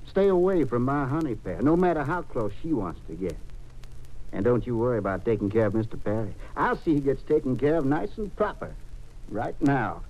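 A male actor playing a polite, slow-witted, lovesick crook in a 1950s radio drama, folksy, earnest and apologetic. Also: medium pitch, lively intonation, a little mellow, close to an everyday voice. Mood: mildly menacing. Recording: dull old radio recording.

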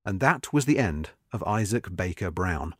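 Man, scripted narration, lively rising and falling delivery, dry close-miked sound, measured emphasis. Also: low voice, slightly rough, no echo. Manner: measured, expressive reading with marked emphasis. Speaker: narrator reading a magazine article.

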